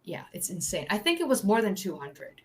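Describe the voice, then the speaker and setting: Brief: woman, co-host on a live history stream, fast and chatty with lots of "like" and restarts, mid-range voice. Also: medium pitch, sing-song, a little mellow, slight background noise. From Netherlands, North Holland. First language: Dutch. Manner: chatty, quick, many fillers and self-corrections. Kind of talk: casual live-stream chat about archaeology.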